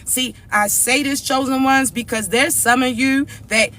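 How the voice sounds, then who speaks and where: A man with a raspy, hoarse voice, giving a slow, emphatic spiritual message straight to his viewers as the channel's host. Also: very high-pitched, steady tone, sharp tone. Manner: repeats "all right?" and "chosen ones", slow and emphatic. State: hoarse from allergies. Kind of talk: direct-to-camera motivational spiritual message.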